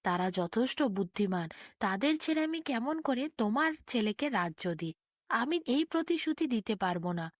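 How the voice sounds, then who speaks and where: A troubled, fatherly king of a fairy tale read by a woman speaks with formal earnestness. The voice is fairly high and recorded very dry. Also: big pitch swings, normal speed, no room sound. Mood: shocked, refusing. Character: aging king, troubled loving father, solemn and principled.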